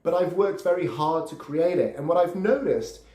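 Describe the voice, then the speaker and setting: A man's voice: fairly high pitch, lively intonation, moderate pace, dark tone, roomy sound. A man in his thirties from Brazil, hosting a podcast and telling his life story in an earnest, casual way, often repeating words as he searches for what to say. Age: 30s. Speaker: podcast host.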